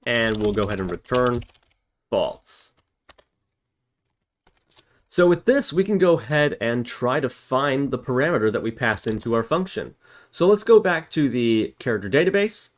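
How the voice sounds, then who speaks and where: A man giving a casual step-by-step programming tutorial, thinking aloud and correcting himself as he types. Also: mid-range voice. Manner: thinks aloud while typing, self-correcting. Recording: noisy, with keyboard typing and clicks. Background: computer keyboard typing, clicking, background noise.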